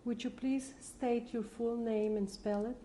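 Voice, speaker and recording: A woman's voice: on the high side, steady tone, measured pace, dark tone, a little hiss or hum. A woman conducting an oral history interview, formal and careful, spelling out names.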